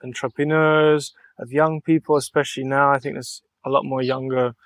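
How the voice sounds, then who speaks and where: A man's voice: medium pitch, flat delivery, moderate pace, dry recording. Young man talking in an earnest, reflective way. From Mallorca, Spain. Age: young adult. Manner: earnest and reflective, thinks aloud in long flowing sentences. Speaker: podcast guest, artist. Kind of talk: relaxed interview conversation.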